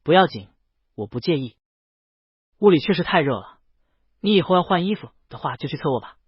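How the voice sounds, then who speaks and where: A man voicing a calm, considerate male boss in an audiobook. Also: on the high side, fairly quick, husky, no room sound. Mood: reassuring, casual. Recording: dull, lo-fi, close dry sound.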